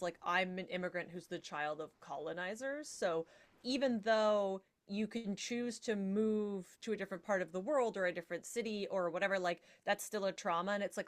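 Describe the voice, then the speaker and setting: A woman with a mid-pitched voice talks as a guest on a podcast panel. Her delivery is reflective and informal, working through ideas in a conversational way. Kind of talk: casual panel discussion.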